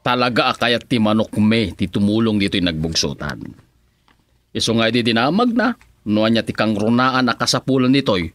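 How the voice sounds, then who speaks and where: A man's voice: animated, normal speed, fairly bright tone. A man with an Ilocano accent playing a boastful middle-aged village councilman and husband in a radio comedy, exclaiming and chuckling as he talks. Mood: eager. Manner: exclaiming, chuckling, swinging pitch.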